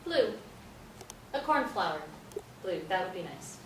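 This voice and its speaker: A woman's voice: mid-range voice, big pitch swings, measured pace. A woman playing a wry, housebound playwright in a staged play reading. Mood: hesitant, brightening. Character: wry, housebound female New York playwright. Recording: roomy, distant mic, faint noise.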